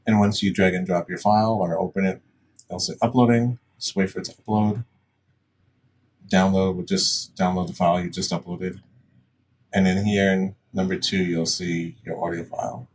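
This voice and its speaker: A man presenting a casual step-by-step software tutorial in a plain-spoken, instructional way. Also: low voice, fairly even intonation, normal speed, breathy edge. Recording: distant mic, faint background noise.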